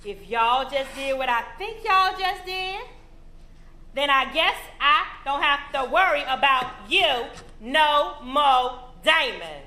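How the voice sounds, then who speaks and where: A cruel, scornful mother, played by a woman on stage, swinging between mock piety and shouted abuse. Her voice sits high and sharp with wide swings. The sound is echoey, like a stage recorded from the house. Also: expressive, slow pace, heavily acted. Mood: suspicious. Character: harsh abusive mother, middle-aged, volatile and cruel. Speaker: stage actor. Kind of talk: recorded stage play performance.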